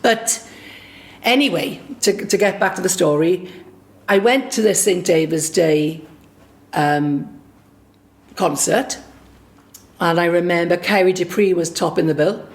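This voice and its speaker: A woman from Swansea with a Welsh accent, a singer and songwriter recounting a personal story in a lively, anecdotal way. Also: fairly low pitch, a little slow, long pauses. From Swansea, Wales. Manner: lively anecdotal, acts out quoted dialogue.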